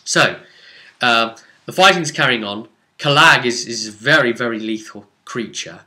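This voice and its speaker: A man speaking as a game master, casually recounting a tabletop role-playing session in an animated, rambling way, stressing points and then trailing off. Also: medium pitch, measured pace.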